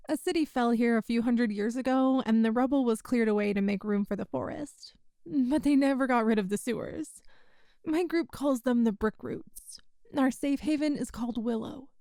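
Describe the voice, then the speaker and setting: A woman playing a kind, tough young rebel rescuer in an ASMR roleplay, with a folksy, hushed way of talking. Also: on the high side, no room sound, clean studio sound. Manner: folksy, reassuring, hushed, uses "ain't".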